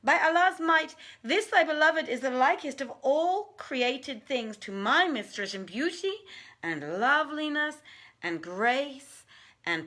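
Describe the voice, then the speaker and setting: An obsequious male ifrit, performed by a woman storyteller, flattering and stubbornly boastful in florid archaic phrases. The storybook voice sits high, with sharp brightness and big swoops in pitch. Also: full character voice.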